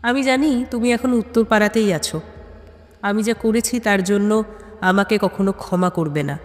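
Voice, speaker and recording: Woman narrator as secretive, remorseful letter writer in an audio mystery, solemn, measured, cavernous reverb. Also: mid-range voice, faint music behind, close to an everyday voice.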